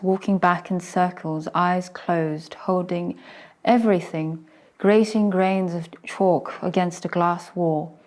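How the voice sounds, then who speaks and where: Slow-paced woman reciting poetry. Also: on the low side, darkish tone. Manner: measured, fragmentary phrasing with deliberate pauses.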